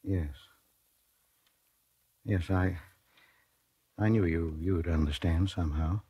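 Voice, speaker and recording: Low-voiced meek, hesitant radio-drama fellow. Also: male voice, slow pace, warm tone, husky, no room sound, highs cut off, full character voice. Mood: resigned. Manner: hesitant, stammering, pleading. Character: meek, lovelorn man resigned to a murder charge. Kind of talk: 1940s radio drama acting, first-person narration. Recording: 1940s radio broadcast, faint music behind.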